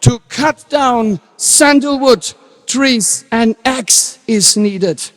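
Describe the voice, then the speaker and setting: A man playing a stern, easily outraged religious schoolteacher in a deliberate, theatrical stage reading. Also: extremely high pitch, full character voice. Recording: very reverberant hall.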